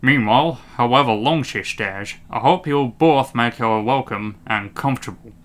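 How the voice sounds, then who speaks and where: A man playing an authoritative, courteous railway boss in a storybook, with a brisk manner. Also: medium pitch, a bit bright, lightly acted. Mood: firm, courteous.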